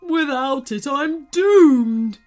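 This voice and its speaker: A woman's voice: sits high, animated, very slow, a little mellow, voice pushed up, heavily acted. A woman voicing a giant red male alien villain in a children's story, blustering, weepy and melodramatic, with music behind. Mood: wailing, sobbing. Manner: wailing, bellowing, melodramatic.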